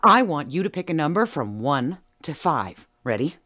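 A sly woman suspected of burglary in an audio drama. Her pitch is lively and varied, moving from meek murmurs to emphatic, theatrical protest. She is playful, evasive and teasing, always working on whoever questions her. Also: moderate pace, full character voice. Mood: sly, confident. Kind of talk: audio drama dialogue acting.

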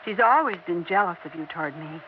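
A woman's voice: lowish voice, animated, fairly quick, close to an everyday voice. A woman playing a pleading, anxious older wife. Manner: pleading, emotional, insistent. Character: anxious, clinging older wife awaiting her husband. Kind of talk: 1960s radio drama acting. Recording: dull old radio broadcast with faint hiss.

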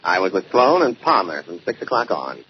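Low-voiced contractor under suspicion. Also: male voice, very animated, normal speed. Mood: defensive, earnest. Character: businesslike male contractor, a defensive murder suspect. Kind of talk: 1940s radio drama acting. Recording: muffled old-time radio recording, faint noise.

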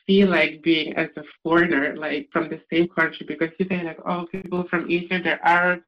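A man telling travel stories in a casual chat over a video call, animated, laughing often and acting out what people said to him. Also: on the high side, a little fast. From Netherlands. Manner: animated storyteller, laughs often, acts out exchanges. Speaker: podcast guest. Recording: dull lo-fi video call audio.

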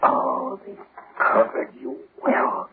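An actor playing a grief-crazed, vengeful man in a melodramatic 1930s radio horror play, halting and repeating himself obsessively. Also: narrow, tinny audio, natural voice. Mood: brooding, anguished. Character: grief-crazed vengeful man hunting his wife's killer among graves. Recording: noisy, band-limited 1930s radio recording.

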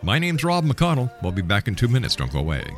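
A man's voice: mid-range voice, huge pitch swings, fast talker, over loud music. A man hosting a radio show, interviewing and announcing to listeners.

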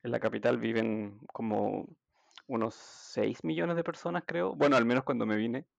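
A man with a Chilean Spanish accent, chatting as a podcast guest in a relaxed, hesitant storytelling way. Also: mid-range voice, normal speed, creaky, no room sound. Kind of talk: casual interview chat. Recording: dull, dry audio.

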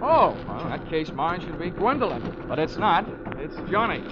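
An actor playing a flippant, deadpan insurance investigator who narrates his own case in a 1940s radio drama. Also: a little high, normal speed, heavy background noise. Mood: rattled, joking. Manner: wry, flippant, deadpan wisecracking. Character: wisecracking male freelance insurance investigator. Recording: muffled 1940s radio recording, music behind.

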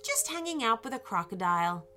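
A woman voicing an eager, kind little boy explorer in a children's story, earnest and excited. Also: a little high, a little fast, soft background music. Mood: cheerful.

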